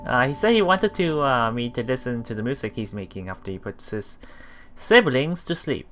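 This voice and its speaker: A man reading a visual novel aloud for a let's play, halting and repeating words, breaking off for casual commentary. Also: mid-range voice, animated, over music.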